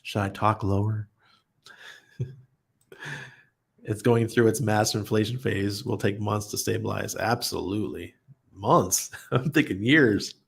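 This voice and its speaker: A man's voice: fairly low pitch, gravelly, breathy edge. A man hosting a casual livestream, reading viewer comments aloud and answering them in a blunt, chatty way, often laughing. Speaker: livestream host.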